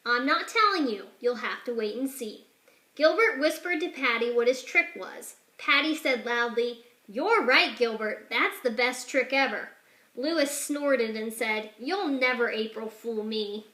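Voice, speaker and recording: A woman's voice: measured pace, roomy sound. A woman reading a children's picture book aloud, changing her voice for the characters' lines. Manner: expressive, shifts pitch and loudness for each character.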